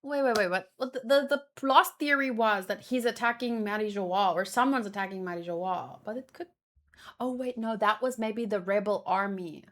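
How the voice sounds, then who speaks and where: A woman's voice: medium pitch, normal speed. A woman chatting casually as a streamer, thinking aloud in a rambling, exclamatory way. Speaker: manga read-along streamer.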